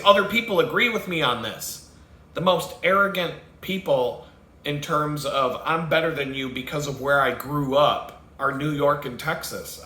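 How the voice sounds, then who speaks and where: A man's voice: medium pitch, pushed and bright. A man hosting his own video, talking in a rambling, profane rant, swearing heavily and laughing at his own jokes. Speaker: vlogger host. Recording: roomy with faint background noise.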